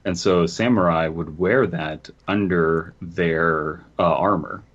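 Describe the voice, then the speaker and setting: Male podcast co-host, deep and husky, casual commentary, slightly muffled microphone. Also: slow. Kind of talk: casual comic commentary with some story reading. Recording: dull, lo-fi microphone sound.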